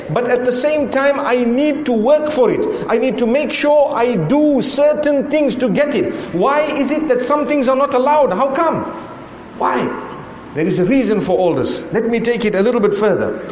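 Zimbabwean guy giving a sermon, fairly high voice, keeps asking why and how come, hall echo all around. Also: slight background noise. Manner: rhetorical questioning, repeating "why" and "how come". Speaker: preacher.

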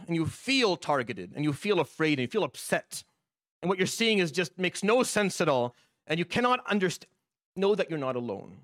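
A man's voice: a little high, fairly bright tone, no room sound, clean studio sound. A man delivering a Friday sermon as imam, impassioned and repeating his points. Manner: impassioned, repetitive.

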